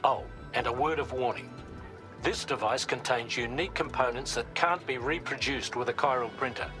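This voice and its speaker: An actor playing a composed operations officer, giving measured, instructive mission briefings in a video game. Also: male voice, medium pitch, music in the background, natural voice.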